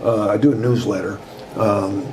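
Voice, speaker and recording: A man in his sixties, a former basketball coach and author, telling leadership stories in a loud, emphatic way as a podcast guest. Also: lowish voice, normal speed, slight background noise. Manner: loud, emphatic, animated storyteller. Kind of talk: conversational storytelling interview.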